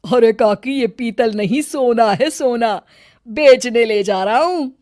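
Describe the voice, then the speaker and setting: A woman's voice: on the high side, slow pace, darkish tone, no room sound. A woman voicing a proud, principled village farmer father with a firm, emphatic manner in a cartoon.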